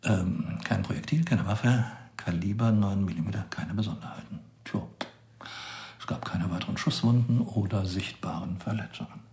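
An actor playing a chatty, pedantic forensic pathologist in a naturalistic radio crime drama, explaining with little asides. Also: male voice, lowish voice, moderate pace, darkish tone, echoey room, lightly acted. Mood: matter-of-fact, explanatory.

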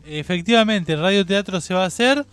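Guy with a high clean voice doing a radio quiz, dry sound, soft music. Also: fairly quick, clear, resonant tone, dry recording. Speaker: radio contributor and author. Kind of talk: informal quiz announcement.

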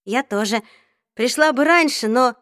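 Бойкая девочка-подросток, чуть выше голосом, её озвучивает чтица, сухая запись без эха. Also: female voice, on the high side, a little slow, fairly bright tone, no echo, studio quality. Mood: light. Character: teenage girl, lively and worried. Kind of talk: audiobook reading.

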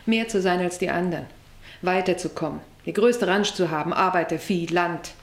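A woman acting a rancher's bitter, defiant daughter in a German radio drama, deliberate and accusing, in an echoing recording. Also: fairly low pitch, natural voice. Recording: strongly echoing room, faint hiss.

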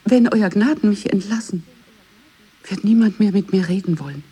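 A woman's voice: mid-range voice, normal speed, mellow, husky. A woman playing a fearful, submissive lady's maid pleading with her queen in a radio play, half whispering. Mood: frightened, pleading.

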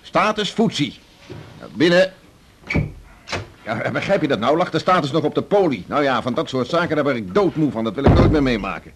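An actor in a 1980s Dutch radio drama playing a blunt, teasing middle-aged senior doctor, brusque and bossy. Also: male voice, mid-range voice, lively intonation, fairly quick, noisy background, lightly acted. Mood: exasperated, brusque.

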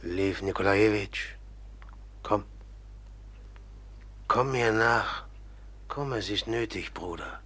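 A brooding, grim young man in an old radio drama, dragging every word out. His voice sits fairly low. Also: fairly bright tone. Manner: slow, heavy, brooding. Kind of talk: 1950s radio drama acting.

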